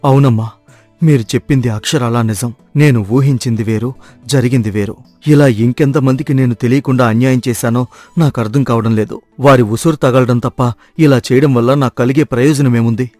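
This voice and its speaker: A man's voice: mid-range voice, fairly even intonation, brisk, dark tone, dry recording, soft background music, natural voice. A man voicing a repentant, kind-hearted thief, earnest and remorseful, in a dramatized moral story.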